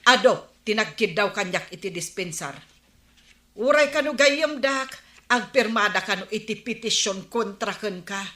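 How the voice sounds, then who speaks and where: A woman's voice: medium pitch, lively intonation, a little slow, sharp tone, roomy sound. A woman with an Ilocano accent playing a scolding, exasperated village woman in a comedy radio drama. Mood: reproachful. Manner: nagging, reproachful.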